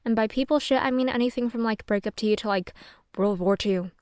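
A woman's voice: on the high side, brisk, darkish tone, no echo, clean studio sound, natural voice. A young adult woman playing a sardonic, flustered, self-deprecating young woman who rambles and swears casually in an audio roleplay monologue. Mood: exasperated, joking.

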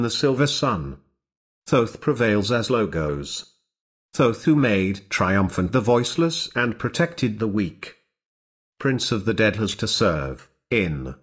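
A man's voice: medium pitch, slightly rough. A man reading aloud in a solemn, declamatory recitation, in short separated phrases. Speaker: reader. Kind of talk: slow solemn recitation of ancient religious epithets.

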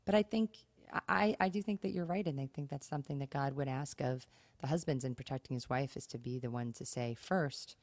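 A woman with a fairly low voice talks as a podcast co-host in a very dry, close recording. She makes her points emphatically and voices example phrases as she goes. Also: fairly quick, a little mellow, no room sound. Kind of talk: conversational talk on marriage advice.

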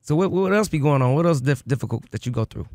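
Male radio host, young, rapid-fire, playful hype, dry close mic. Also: medium pitch, animated, a little mellow, dry recording. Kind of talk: casual radio interview. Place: radio studio. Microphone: studio mic on boom arm.

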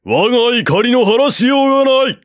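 A man voicing a furious, hot-headed male general who shouts in rage, in a storybook reading. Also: extremely high pitch, expressive, moderate pace, no echo, studio quality, voice pushed up. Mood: enraged.

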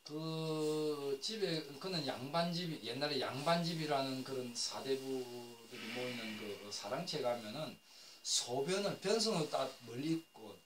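A man's voice: mid-range voice, expressive, unhurried, fairly bright tone. An older man giving a classroom lecture on traditional floor heating, rambling and correcting himself, with many fillers as he explains. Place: lecture room. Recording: echoey room, recorded from a distance with faint noise.

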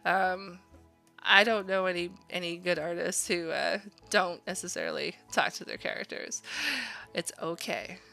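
A woman with a bright, forward voice teaches like an art instructor at work. She talks through her choices informally, chatty and encouraging. Faint music plays behind her. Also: lowish voice, steady tone, measured pace, vocal fry. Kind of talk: informal drawing demonstration, thinking aloud.

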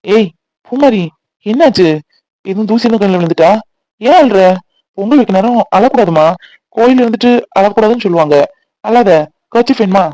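An actor playing an earnest, persistent young bridegroom in a Tamil serial, talkative and pleading in a boyish way. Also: male voice, high voice, lively intonation, brisk, long pauses, mellow, dry recording, low-quality recording. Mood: concerned. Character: earnest, persistent young bridegroom devoted to his scornful wife.

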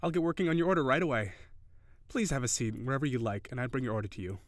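A man playing a cheery, shy, flirty kitsune barista in an intimate audio roleplay, speaking hesitantly and giggly, with gentle encouragement. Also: medium pitch, lively intonation, rapid-fire, no room sound, clean studio sound, natural voice. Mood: cheerful, flirty.

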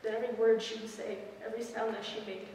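A woman's voice: on the high side, little pitch movement, fast, a little mellow, natural voice. A teenage girl performing a stage monologue as an accusing, relentless, tormenting woman, with biting, declamatory intensity. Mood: defiant. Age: teens. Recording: noisy, echoey hall, far from mic.

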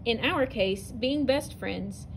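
A woman teacher reads a picture book aloud to her students, her voice a touch high and her delivery clear and expressive. She stumbles and restarts now and then. A faint hiss of room noise sits under the recording. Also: slow pace, low-quality recording. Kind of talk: reading a narrative poem aloud to students. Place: indoors, at a table over an open book.

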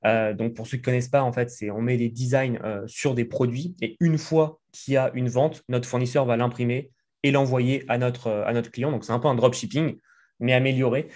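A man's voice: mid-range voice, steady tone, normal speed, a little mellow. A man in his thirties from France, a guest talking casually in an interview from his living room, chatty with many fillers. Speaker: guest entrepreneur. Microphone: desk mic in frame.

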